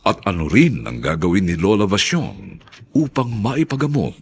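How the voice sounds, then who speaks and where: Male drama narrator, Filipino accent: low, animated, scripted and theatrical delivery. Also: normal speed, vocal fry, slight background noise.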